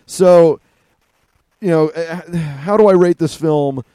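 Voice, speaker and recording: Man, podcast co-host, mellow, relaxed movie chat, dry sound. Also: on the high side, takes it slowly, smooth, no room sound. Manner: loose, rambling, with fillers. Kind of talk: casual movie review chat.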